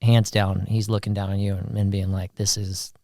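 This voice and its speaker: A man's voice: lowish voice, level tone, moderate pace, creaky, mellow, no echo, clean studio sound. A man hosting a podcast, speaking in an encouraging, emphatic way and often repeating himself. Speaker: podcast host. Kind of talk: casual chat.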